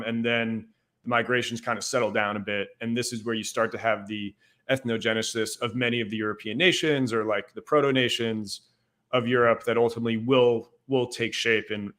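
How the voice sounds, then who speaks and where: Man from ireland, young adult, casual podcast guest, middle pitch, loose rambling delivery, lots of fillers. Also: fairly bright tone. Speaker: guest historian.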